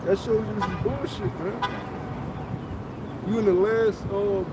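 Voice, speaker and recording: Outdoor street teacher, male, high pitch, forceful and profane, buried in wind noise. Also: fairly even intonation, a little slow. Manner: forceful, profane, talks over others. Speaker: teacher of a street study group. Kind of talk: heated religious teaching.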